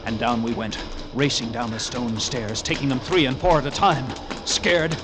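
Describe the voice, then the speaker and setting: An actor playing a lighthouse keeper who narrates his ordeal in hushed, dread-filled tones, in 1940s radio acting style. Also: mid-range voice, moderate pace, close to an everyday voice. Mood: tense. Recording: old radio recording with music.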